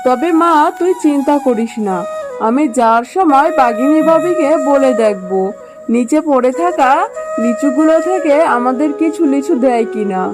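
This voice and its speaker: A woman voicing a poor, hardworking mother jackal in a children's cartoon, gentle, obedient and pleading. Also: sits high, lively intonation, moderate pace, over music. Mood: soothing, apologetic.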